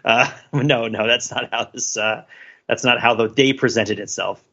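A man's voice: medium pitch, fairly even intonation, moderate pace, sharp tone, dull audio. A man co-hosting a podcast in casual discussion, talking in rambling runs with many restarts and fillers. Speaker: podcast co-host.

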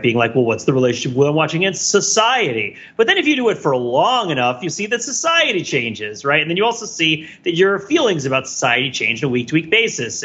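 Bright, slightly husky man on a podcast. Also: fairly high pitch, lo-fi sound. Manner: emphatic, rambling, philosophical phrasing. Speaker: podcast panelist. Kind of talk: casual reflective commentary in a group podcast.

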